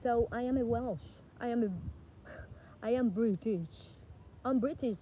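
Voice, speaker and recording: A woman's voice: a little high, a little slow. Chatty woman from the Dominican Republic. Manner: chatty, warm thanks, rambling with self-corrections. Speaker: singer-songwriter and music producer. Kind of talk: casual talk to camera. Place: outdoors with flies around. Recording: dull lo-fi sound with faint background noise.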